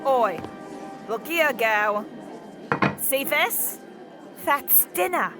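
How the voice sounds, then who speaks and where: A woman's voice: sits high, animated, drawn-out words, full character voice. A woman playing a cruel, bullying jailer in an audio drama, scolding and threatening. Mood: taunting. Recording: music under the voice.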